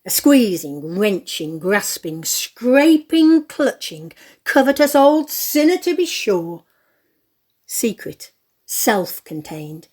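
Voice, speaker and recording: A woman's voice: fairly low pitch, darkish tone, husky, exaggerated voice. A woman performing as the narrator of a Victorian audio play, telling the story expressively and emphatically.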